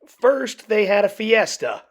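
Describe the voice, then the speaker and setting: Expressive, slow-paced storybook reader, a woman. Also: medium pitch, over loud music. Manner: theatrical, dramatic pauses. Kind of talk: expressive children's picture book read-aloud.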